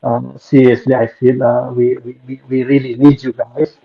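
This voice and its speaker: A man from Ethiopia, a native Amharic speaker, calls in to a live online debate show. He talks at a middle pitch and stumbles through restarts and fillers. The line sounds dull and lo-fi. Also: little pitch movement, normal speed, vocal fry, husky. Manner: hesitant, many fillers and restarts. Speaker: caller. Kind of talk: casual live call-in chat.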